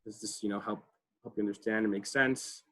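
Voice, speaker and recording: A man with a bright, forceful voice and a slightly low pitch runs an online advising class from his computer mic. He talks casually, leans on fillers and keeps asking "right?" to check in with his listeners. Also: fairly even intonation, a little fast. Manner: conversational, many fillers, frequent "right?" checks. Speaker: college advising workshop presenter. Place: online video call.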